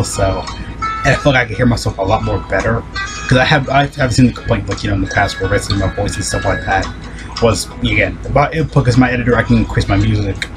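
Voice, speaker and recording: A man with a deep voice giving casual commentary on a mobile game, rambling quickly with many fillers and restarts. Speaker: gaming video host.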